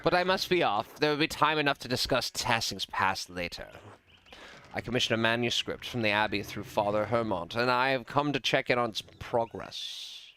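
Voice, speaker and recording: A man's voice: mid-range voice, expressive, moderate pace, pushed and bright, slight background noise. A man voicing a jovial, entitled nobleman from a story game, with a grand, effusive manner and a gossipy streak. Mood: brisk.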